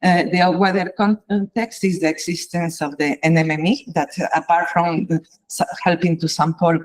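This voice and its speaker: A woman giving a measured conference talk as an invited reviewer, pausing often with fillers. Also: fairly low pitch, steady tone. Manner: halting, measured, many pauses and fillers.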